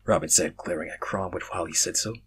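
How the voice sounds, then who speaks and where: A man's voice: deep voice, quick pace, fairly bright tone, slightly rough. A man reading stories aloud as an amateur host, informal, sometimes stumbling over his words. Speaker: fan fiction reader, channel host. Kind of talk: amateur story narration and casual sign-off.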